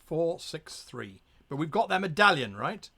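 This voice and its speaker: Animated, gravelly-voiced man running a game. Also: fairly high pitch, measured pace. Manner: animated, throws in pirate growls and asides. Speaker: game master. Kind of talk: live-streamed gamebook narration.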